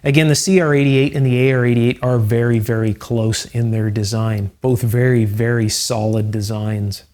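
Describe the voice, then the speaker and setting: A man hosting an electronics restoration video, explaining each step calmly and methodically, with frequent fillers. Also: mid-range voice, moderate pace. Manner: calm, methodical, with fillers like "uh" and "you know". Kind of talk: hands-on technical explanation.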